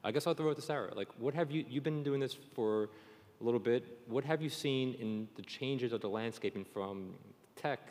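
A man's voice: mid-range voice, moderate pace, darkish tone. A man in his thirties moderates a live panel informally, chatty and jokey. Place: on stage at a conference session. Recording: reverberant conference room sound.

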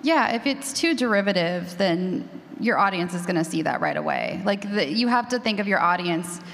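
A woman's voice: mid-range voice, big pitch swings, normal speed, creaky, fairly bright tone. A woman talking casually as a panelist at a conference session. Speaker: panelist, horror podcaster. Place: large indoor conference session room. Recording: echoing hall, faint background noise.

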